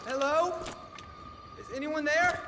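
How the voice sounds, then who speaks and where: An actor playing a nervous, eager, bookish monster scholar, polite and wordy, in a video game with noisy ambience. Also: male voice, very high-pitched, slow pace. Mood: startled, starstruck. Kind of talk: dramatic game dialogue. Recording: clear noisy ambience behind voice.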